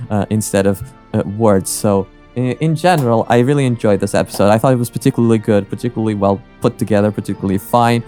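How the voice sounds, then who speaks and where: A man giving casual, opinionated reaction commentary in a halting, rambling way. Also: mid-range voice, dark tone. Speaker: reaction video host.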